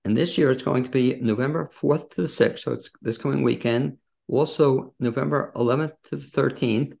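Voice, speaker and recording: A man hosts an interview show from a video call. His voice sits at an average male pitch and is extremely creaky and gravelly. He speaks as a presenter, setting things up for listeners and putting questions to his guest. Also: normal speed, a little mellow. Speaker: podcast host. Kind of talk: interview hosting on a positive news podcast. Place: remote video call from his own studio.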